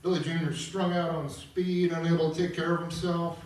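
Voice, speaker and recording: A man informally introducing and narrating a live table read, explaining as he goes with some halting. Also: fairly high pitch, flat delivery, darkish tone. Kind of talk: live introduction and reading of stage directions. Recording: echoey room, far mic, guitar behind. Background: guitar music.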